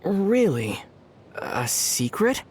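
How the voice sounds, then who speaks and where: An actor playing a calm young male traveler hero in a video game who answers in a dry, deadpan way. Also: sits high, very slow, husky, heavily acted. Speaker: player character. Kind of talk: video game character banter.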